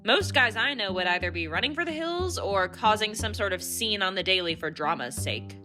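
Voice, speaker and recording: A woman's voice: medium pitch, animated, normal speed, music in the background, close to an everyday voice. A woman playing a wry, awkward but candid survivor in a radio play.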